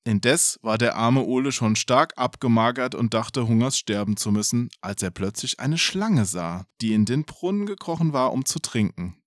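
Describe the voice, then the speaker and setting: Male storyteller, animated intonation, mid-pitched, expressive read-aloud, casual asides, dry close recording. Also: moderate pace, hardly any pauses, dry recording. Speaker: podcast storyteller.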